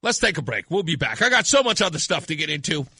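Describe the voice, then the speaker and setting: A male American talk radio host with a very bright, forceful voice, pitched a little high. He chats off the cuff with callers and jumps in readily. The sound is close and dry, as from a studio microphone. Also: big pitch swings, quick pace, creaky, breathy edge, no room sound. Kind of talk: unscripted call-in talk radio chat.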